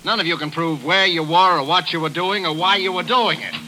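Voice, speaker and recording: An actor playing a blunt, impatient police lieutenant in a 1940s radio drama, brusque and interrogating. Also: male voice, fairly high pitch, brisk, sharp tone, poor audio. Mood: pressing. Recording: hissy old radio recording.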